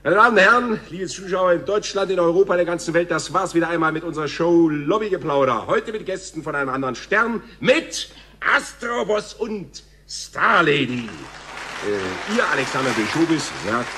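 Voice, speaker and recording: A man playing a smooth, self-important TV talk show host in a German radio play, effusive and mannered, hesitating with ers. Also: fairly high pitch, animated, normal speed, sharp tone, some chatter behind, full character voice. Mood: gushing, showy.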